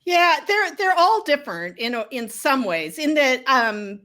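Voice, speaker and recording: Female author interviewee, fairly high-pitched; casual storyteller, candid, self-deprecating. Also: big pitch swings, measured pace, pushed and bright. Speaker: novelist being interviewed. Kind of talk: casual chat, storytelling about writing.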